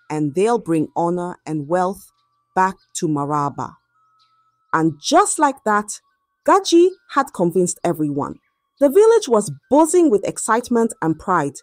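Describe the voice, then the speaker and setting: Woman, folktale narrator, animated pitch, dramatic delivery, dry close sound, soft music behind. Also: no room sound. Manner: dramatic, expressive storytelling. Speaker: storyteller, narrator.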